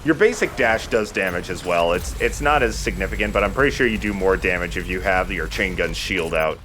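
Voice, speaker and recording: A man's voice: deep voice, a little fast, a bit bright, slight background noise. A man giving casual, rambling and jokey commentary as he hosts a video game playthrough. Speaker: let's play host.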